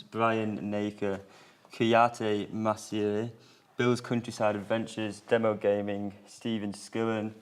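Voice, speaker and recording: A man reading out a list of viewer names on a live show, brisk and flat. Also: on the low side, clean tone.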